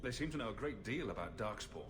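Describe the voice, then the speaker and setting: Man acting direct champion hero, fantasy game cutscene, questioning, animated, clear background noise. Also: lowish voice, quick pace, lightly acted. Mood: inquisitive. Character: probing, businesslike male champion hero. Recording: noisy ambience behind dialogue.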